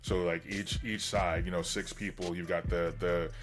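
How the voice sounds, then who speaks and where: A man hosting a livestream in casual, rambling, enthusiastic chat, his voice slightly hoarse from getting over being sick. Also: low voice, level tone, moderate pace. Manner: enthusiastic, informal geek talk. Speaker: livestream host.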